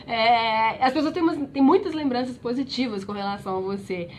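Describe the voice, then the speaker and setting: A woman's voice: fairly high pitch, brisk, slight background noise. A woman with a Brazilian accent running a casual interview, asking friendly questions. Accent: Brazilian Portuguese.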